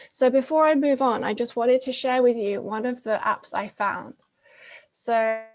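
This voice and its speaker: A woman presenting a talk at a teachers' conference, explaining her points with many pauses and restarts. Her first language is English. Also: fairly high pitch, darkish tone. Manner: frequent fillers and restarts, explanatory.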